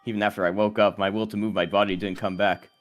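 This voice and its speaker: A man's voice: on the low side, flat delivery, quick pace, lightly acted. An actor playing an earnest, troubled teenage boy in a dubbed mystery video game, with music behind. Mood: brooding. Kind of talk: anime game dub, dramatic inner monologue and confrontation.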